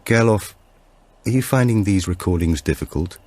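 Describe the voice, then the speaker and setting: A man's voice: deep voice, moderate pace, a little mellow, pitched above the performer's own voice. A man voicing an elderly, reflective great-uncle in an audiobook thriller. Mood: inquisitive.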